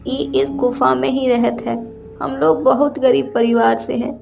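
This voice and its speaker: A woman's voice: high voice, little pitch movement, creaky, over music, exaggerated voice. A woman voicing a poor, plaintive old village mother in a children's cartoon, with a rural north Indian Hindi dialect. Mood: sorrowful. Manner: slow, plaintive, folksy. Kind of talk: children's cartoon story acting.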